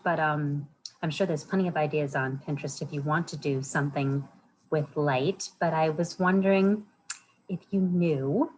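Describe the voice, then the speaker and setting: A woman's voice: low-pitched, slight background noise, poor audio. A woman hosting a storytime for children, reading aloud and chatting in simple words, often putting questions to her young listeners. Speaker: library storytime host for children.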